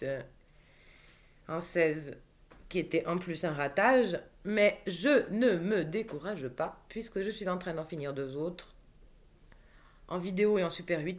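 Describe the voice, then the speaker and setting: A woman hosting a talk program, speaking in a wry, conversational way with many asides. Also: fairly low pitch. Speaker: host. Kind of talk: radio interview and commentary.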